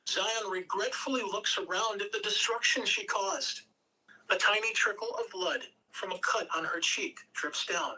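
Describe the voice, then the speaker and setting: Bright, lively woman narrating a script. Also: mid-range voice, normal speed, distant mic, low-quality recording. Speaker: narrator reading stage directions. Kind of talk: scripted narration at a table read.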